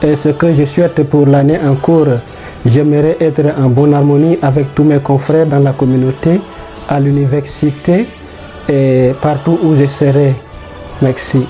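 Young man from Chad, dark tone. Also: a little high, steady tone, a little slow, soft background music. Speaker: seminarian.

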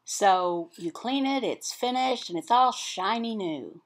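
A woman voicing an interpreted sermon as explanatory teaching, in short, halting phrases with pauses between them. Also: mid-range voice, expressive.

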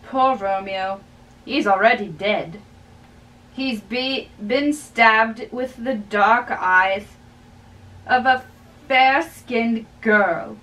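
High-voiced young woman reading very slowly. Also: fairly bright tone. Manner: halting, stumbling over lines and repeating them. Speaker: amateur play reader. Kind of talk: dramatic play reading. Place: small bedroom, indoors. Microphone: camera a few feet away. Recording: distant mic in a bedroom.

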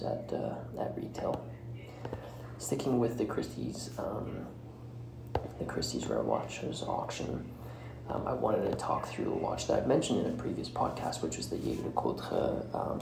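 A man with a very low voice delivers solo podcast commentary. His speech is hesitant and broken into short pieces, with plenty of vocal fry. The recording is roomy and echoing, with clear noise behind him. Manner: halting, hesitant, often breaking phrases mid-way. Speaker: podcast host.

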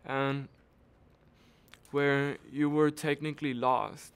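A man's voice: medium pitch, clean tone. A young man, a native Spanish speaker, talking reflectively in a documentary interview. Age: young adult. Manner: slow, flat, reflective.

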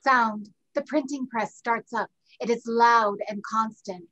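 Fairly high-voiced woman reading stage directions. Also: fairly even intonation, slow, bright, forceful tone, dull audio. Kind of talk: scripted narration. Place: home room on a group video call.

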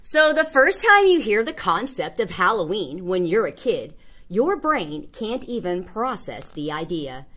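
A woman's voice: mid-range voice, big pitch swings, measured pace, fairly bright tone. A woman reading a children's picture book aloud, expressively and with emphatic delivery. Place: indoors, small classroom or office.

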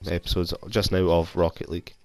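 A man's voice: deep voice, little pitch movement, brisk, no room sound, faint music behind. A young Scottish man with a cold, rambling casually and self-deprecatingly while playing a video game. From Scotland. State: has a cold. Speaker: gaming YouTuber. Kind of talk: casual gameplay commentary. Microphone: console headset mic.

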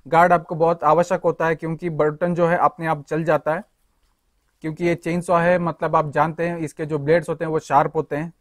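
A man's voice: fairly high pitch, little pitch movement, fairly quick, no room sound. Dry, level-voiced man explaining a tool. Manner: explanatory, addresses viewers as friends. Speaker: tool reviewer. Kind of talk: hands-on product walkthrough.

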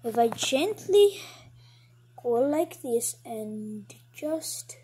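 A woman's voice: on the high side, sing-song, drawn-out words, warm tone, breathy edge, pitched below the performer's own voice, exaggerated voice. A woman voicing a sneaky little mouse who wants cheese, whiny and dramatic, in improvised pretend play. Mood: sneaky, careful.

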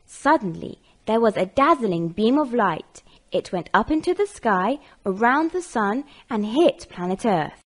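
A woman's voice: on the high side, very animated, unhurried. A woman with an American accent narrating a scripted children's story.

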